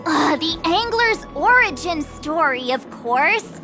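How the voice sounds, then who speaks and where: A woman playing an excitable, eager girl who acts as a detective's sidekick in a video game, chatty and dramatic. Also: high-pitched, slow, over music, full character voice. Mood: excited.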